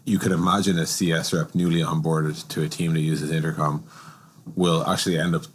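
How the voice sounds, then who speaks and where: A man's voice: rumbling low, level tone, fairly quick, slight background noise. A man hosting a podcast conversation, offering his own opinions and thinking aloud through examples before putting questions. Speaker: podcast host.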